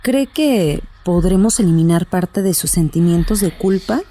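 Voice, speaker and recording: A woman playing a composed, affable psychiatrist, gentle and professional, in an audio horror drama. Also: on the low side, lively intonation, measured pace, slight background noise. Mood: calm, probing. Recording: faint music behind the voice.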